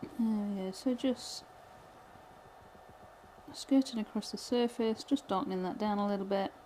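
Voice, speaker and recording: A chatty woman from Britain, thinking aloud through a live wood-burning lesson with plenty of ums and restarts. Her voice sits at an ordinary middle pitch and is getting slightly hoarse. A faint background hiss runs under it. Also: measured pace, mellow, low-quality recording. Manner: chatty, self-deprecating, thinks aloud with many fillers. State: voice going hoarse. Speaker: pyrography instructor. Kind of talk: live tutorial chat while working.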